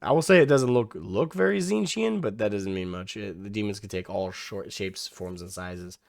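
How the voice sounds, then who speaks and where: A young man hosting a reaction video, losing his voice and hoarse, rambling casually with many restarts and the odd swear. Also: fairly low pitch, moderate pace, dry recording, clean studio sound.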